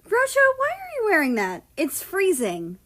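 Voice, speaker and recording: A woman's voice: extremely high pitch, wildly swooping pitch, moderate pace, fairly bright tone, pitched above the performer's own voice, full character voice. A woman voicing a cocky, teasing young man in a read-aloud story. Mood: puzzled.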